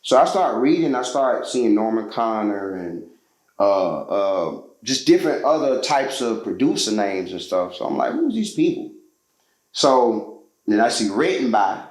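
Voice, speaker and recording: A man's voice: fairly low pitch, very animated, unhurried, echoey room, low-quality recording. A man from Georgia, a musician telling his story as a guest in a casual interview, loose and slangy with lots of fillers.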